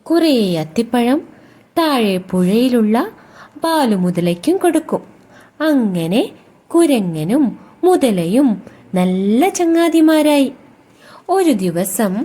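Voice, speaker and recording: A woman storyteller narrating a scripted bedtime story for young children in a drawn-out, expressive way. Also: fairly high pitch.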